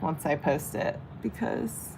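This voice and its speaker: A young woman rambles casually to her livestream viewers, her voice low and gravelly with some vocal fry. She chats loosely while doing her makeup. Faint background noise sits under the recording. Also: animated, slow pace, a little mellow, low-quality recording. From San Diego, California. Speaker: livestreamer and tarot reader. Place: home in Vietnam.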